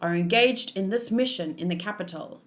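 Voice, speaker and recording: A woman's voice: mid-range voice, moderate pace, voice pushed down, natural voice. A woman voicing an earnest samurai man in a manga, with formal, old-fashioned phrasing.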